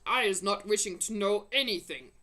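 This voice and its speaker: A woman's voice: medium pitch, animated, normal speed, fairly bright tone. A woman voicing a kindly, dignified male giant from a storybook, earnest and innocent, with broken folksy grammar. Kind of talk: storybook character voice.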